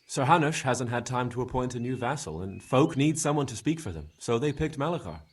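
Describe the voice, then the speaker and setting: A husky male villager from a medieval game, mid-pitched. He is folksy and forthcoming, laying things out plainly. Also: lively intonation, a little fast. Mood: informative. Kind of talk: video game voice acting.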